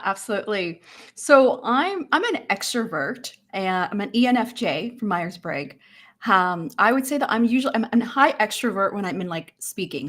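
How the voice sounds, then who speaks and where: A woman's voice: medium pitch, a bit bright. A woman interview coach from Hong Kong and Canada, whose first language is not English, speaking energetically on a panel and often repeating words as she starts a phrase. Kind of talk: panel discussion.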